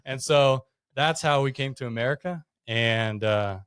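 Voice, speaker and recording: A man's voice: mid-range voice, a little slow, fairly bright tone, clean tone, no echo, clean studio sound. A young man from Russia, a podcast guest, telling his story in a chatty way with lots of "like" and "you know.". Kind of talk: casual storytelling interview.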